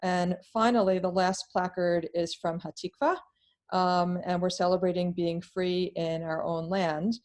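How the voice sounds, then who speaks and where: A woman's voice: fairly low pitch, measured pace. A woman who heads a middle school, presenting plainly on a video call with some ums. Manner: plain, steady, explanatory, um fillers.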